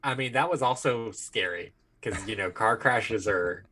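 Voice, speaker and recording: A man's voice: lowish voice, unhurried, pushed and bright. A tired man co-hosting a podcast, joking casually with crude, teasing humour. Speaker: podcast co-host. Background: background music.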